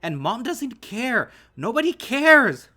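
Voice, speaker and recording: A man voicing an angry, defiant animal boy, wounded and desperate, with foul-mouthed shouted outbursts in an audio story. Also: medium pitch, expressive, normal speed, faint music behind, pitched above the performer's own voice. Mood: tearful screaming rage.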